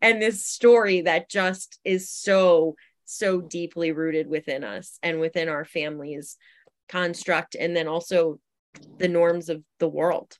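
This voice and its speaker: A woman's voice: on the low side, steady tone, slow pace. A woman speaking as an interview guest, reflective and conversational, thinking aloud about life and often voicing imagined examples. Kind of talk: conversational self-help discussion.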